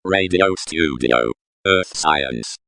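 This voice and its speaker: A man's voice: rumbling low, lively intonation, slow, pushed and bright, slight background noise, full character voice. A man playing a solemn announcer who reads scene headings in a radio drama. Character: solemn male announcer of dates and studios.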